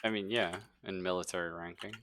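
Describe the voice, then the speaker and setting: A man's voice: low-pitched, fairly even intonation, a little fast, bright, forceful tone, slight background noise. A man running a tabletop roleplaying game, improvising narration and bantering casually with his players. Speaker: game master.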